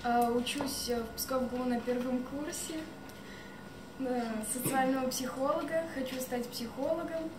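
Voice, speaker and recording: A young woman with a high voice makes a short informal introduction of herself. The sound is echoey and distant, as in a busy cafe. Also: steady tone, unhurried. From Pskov, Russia. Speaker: first-year student introducing herself. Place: indoor cafe, seated at table, camera mic at a distance. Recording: echoey cafe, distant mic, background noise.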